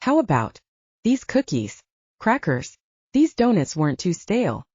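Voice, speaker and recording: A woman voicing a hospitable, matter-of-fact female animal keeper in an audiobook, brisk and practical. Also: fairly low pitch, very animated, a little mellow, slightly rough, dry recording, heavily acted. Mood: offering. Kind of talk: audiobook character dialogue. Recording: dull, lo-fi, narrow sound.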